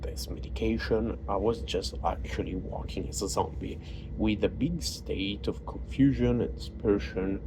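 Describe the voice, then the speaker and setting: A man with an Italian accent, a native Italian speaker, talking in a halting personal monologue full of fillers and restarts. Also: fairly low pitch. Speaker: vlogger. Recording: noisy background.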